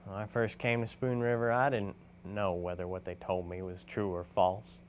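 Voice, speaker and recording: A low-pitched male voice over audible hum, a man acting a weary, confessional stone carver in a reflective stage monologue. He speaks as a craftsman looking back on his own compromises. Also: level tone, normal speed, close to an everyday voice. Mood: reflective, regretful. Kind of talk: dramatic monologue reading by drama student.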